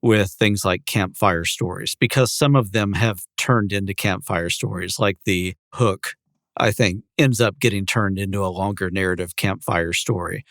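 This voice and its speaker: A man co-hosting a podcast in casual conversation, explaining things in a measured, wordy way. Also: low voice, fairly even intonation, fairly bright tone, no room sound, studio quality. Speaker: podcast co-host.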